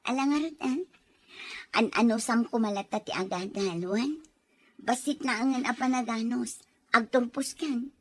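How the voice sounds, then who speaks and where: A woman's voice: fairly high pitch, normal speed, dry recording, close to an everyday voice. A woman playing an affectionate, teasing young wife in a radio drama. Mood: teasing, tender.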